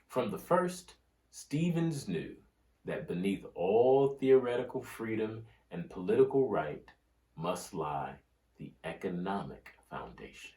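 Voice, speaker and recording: A man with a fairly low voice reads historical prose aloud very slowly. His delivery is solemn and oratorical, with deliberate pauses between phrases. The sound is roomy, with a clear echo around the voice. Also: very animated, warm tone. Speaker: reader of a history book. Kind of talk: scripted dramatic reading of history and quoted oratory. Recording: roomy, echoing room sound.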